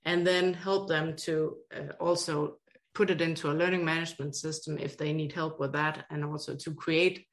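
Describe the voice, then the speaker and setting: Danish-accented woman, lowish voice. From Denmark. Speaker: guest, education consultant. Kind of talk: podcast interview.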